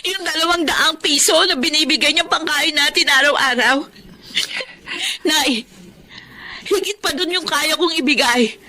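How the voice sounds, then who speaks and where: Very high female voice, bright, young defiant daughter, emotional, emphatic, radio melodrama. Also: measured pace, a little hiss or hum. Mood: anguished, pleading. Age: young adult. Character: wounded, defiant young daughter, pleading yet firm. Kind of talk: melodramatic radio drama acting.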